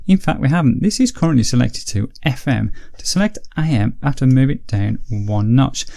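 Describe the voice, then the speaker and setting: Mellow man, slightly gravelly. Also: mid-range voice, lively intonation, fairly quick. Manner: methodical, explanatory. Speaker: host of gadget review videos. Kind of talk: casual product review.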